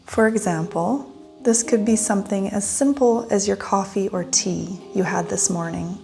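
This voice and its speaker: A woman leading a guided meditation in a gentle, soothing manner with long pauses. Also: medium pitch, gravelly. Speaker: meditation guide, yoga teacher. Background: soft instrumental music.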